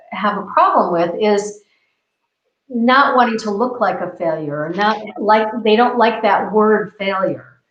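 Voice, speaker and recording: A woman from the United States, co-hosting a casual discussion, talking earnestly and repeating phrases as she builds her point. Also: a little high. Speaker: co-host. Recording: roomy, dull lo-fi video call audio.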